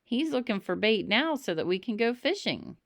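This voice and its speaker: A woman's voice: on the high side, very animated, normal speed, no echo, studio quality, pitched above the performer's own voice. A woman voicing an eager, boastful young boy in a children's storybook, proudly showing what he knows. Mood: knowing.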